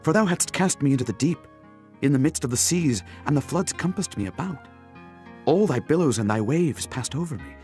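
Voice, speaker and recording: A man playing a Hebrew prophet, solemn and fervent, in a measured dramatised scripture reading. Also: a little high, expressive, darkish tone, faint music behind, lightly acted. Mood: anguished prayer.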